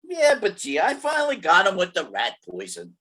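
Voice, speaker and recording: A performer playing a giddy, flustered, bumbling gangster in old-time radio comedy, stammering and excitable. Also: medium pitch, moderate pace, slightly rough, heavily acted.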